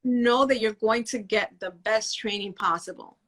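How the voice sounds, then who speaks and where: A woman's voice: medium pitch, moderate pace, a bit bright. A woman guest educator speaking earnestly in a casual podcast chat.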